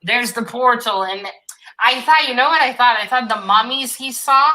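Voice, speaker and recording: A woman talks informally about a book as one of a panel. Her voice is bright and forceful, sitting a little high. She questions and pushes her point as she debates. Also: normal speed, poor audio. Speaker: discussion panelist. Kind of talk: casual book discussion.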